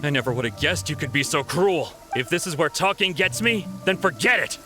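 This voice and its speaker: A man's voice: a little high, lively intonation, a little fast, music in the background, close to an everyday voice. An actor playing a frightened, desperate male thief in a video game, agitated and pleading. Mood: defiant, desperate. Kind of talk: scripted video game acting.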